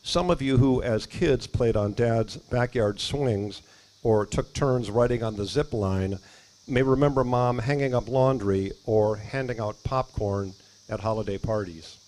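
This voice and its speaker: A man speaking at an outdoor ribbon cutting, reading measured, prepared remarks about his family. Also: medium pitch, a little mellow. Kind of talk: prepared ceremony speech. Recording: faint outdoor noise with crickets.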